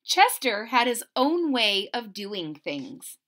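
A middle-aged woman reading a picture book aloud to children, expressive and deliberate, pausing to question her listeners. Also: fairly high pitch. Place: small indoor room at home.

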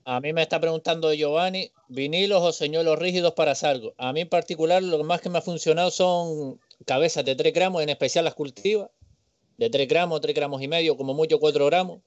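A man's voice: on the high side, fairly quick, no room sound. A man from the Canary Islands, chatting casually about fishing on a livestream, friendly and slangy. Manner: friendly, slangy, says "tío" and "hermano". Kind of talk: casual chat on a video call. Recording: dry, dull call audio.

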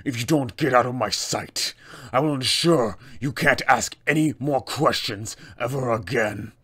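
A man's voice: medium pitch, a bit bright, breathy edge. Man voicing a menacing, towering town enforcer. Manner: threatening, deliberate, commanding.

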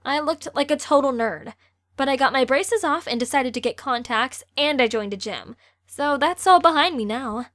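Woman as teenage girl, high voice, chatty, teasing, dry close recording, audio drama. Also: normal speed, fairly bright tone, no room sound. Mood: chatty, friendly. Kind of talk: amateur audio drama acting.

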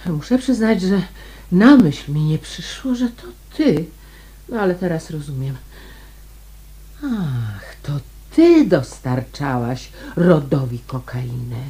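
An actress playing a shrewd, defiant lady amateur sleuth in a radio drama, with a deliberate, theatrical delivery. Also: low-pitched, big pitch swings, dark tone, breathy edge, heavily acted. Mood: defiant, accusing.

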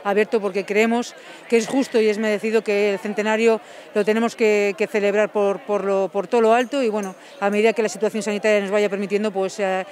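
Fast-talking hesitant mayor outdoors. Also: female voice, mid-range voice, level tone, a little mellow. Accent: Spanish from Spain. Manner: hesitant, with many fillers and restarts. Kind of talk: street interview.

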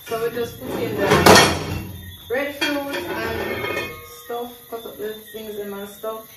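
A young woman with a Jamaican accent chatting casually through a late-night cooking vlog, relaxed and laughing often, recorded by a camera across a bare concrete kitchen. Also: on the high side, slow pace, distant mic. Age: young adult. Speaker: cooking vlogger. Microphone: camera on tripod across the room. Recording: echoey room, voice from afar, loud music. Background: loud background music.

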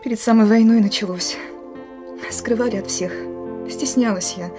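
An actress in a radio play voicing a gruff but emotional woman commander of a wartime partisan detachment. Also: on the high side, fairly even intonation, moderate pace, over music, lightly acted. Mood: moved, tender. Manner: commanding, urgent, broken phrases.

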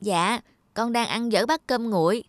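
A woman's voice: on the high side, measured pace, natural voice. A woman voicing a timid, crafty young male servant in a cartoon, deferential and pleading. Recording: studio voice with loud music.

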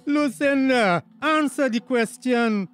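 A stern officious tobago cop in a radio play, high voice, fed up. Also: male voice, slow pace, a bit bright, soft background music, exaggerated voice. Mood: exasperated. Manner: formal, officious. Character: stern, exasperated male village police officer. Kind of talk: radio drama acting.

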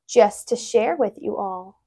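A young woman voicing a big, sleepy, good-natured bear from a children's storybook, gently and willingly. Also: medium pitch, animated, takes it slowly, creaky, slightly rough, no echo, studio quality, exaggerated voice. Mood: quietly pleased.

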